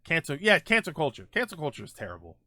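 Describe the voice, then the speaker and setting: A man hosting a livestream, chatting casually with viewers in a halting way, often restarting his phrases. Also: a little high, wildly swooping pitch, pushed and bright, dry recording. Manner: halting, repeats phrase openings. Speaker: livestream host. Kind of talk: casual chat with live viewers, gaming and film talk.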